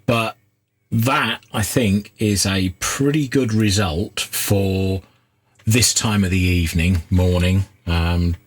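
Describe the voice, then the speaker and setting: A man giving an unscripted, halting workshop commentary, thinking aloud as he works at a repair bench. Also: deep voice, steady tone. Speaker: hobbyist radio repairer and video host. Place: indoor repair workbench. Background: loud noise, radio and test-tone sounds.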